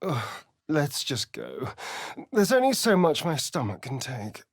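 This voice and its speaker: A man's voice: on the high side, huge pitch swings, a little slow, husky, dry recording, studio quality, exaggerated voice. A man playing a vain, sardonic vampire rogue in a video game, with an arch, theatrical, drawling delivery. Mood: exasperated, disdainful. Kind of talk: video game character voice lines.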